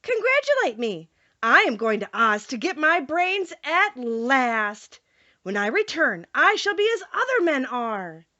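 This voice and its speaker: Storybook scarecrow played by a woman: cheerful, earnest, eager, high and bright. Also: sing-song, a little slow, muffled recording, heavily acted. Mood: excited.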